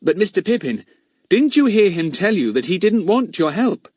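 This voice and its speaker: A man's voice: high voice, big pitch swings, moderate pace, darkish tone, husky, no room sound, voice pushed up, heavily acted. A man voicing a confident, earnest teenage boy detective in an audiobook, well-spoken, polite and persuasive with a cheeky streak. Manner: well-spoken, persuasive, eager schoolboy phrasing.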